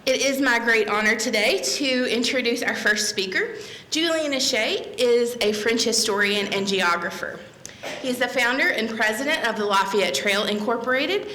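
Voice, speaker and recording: Bright-voiced woman welcoming a library audience. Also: on the high side, slight background noise. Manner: friendly, reads the introduction with fillers. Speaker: library manager introducing a speaker. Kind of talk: welcome and speaker introduction. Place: library meeting room. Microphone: podium microphone. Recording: echoey room.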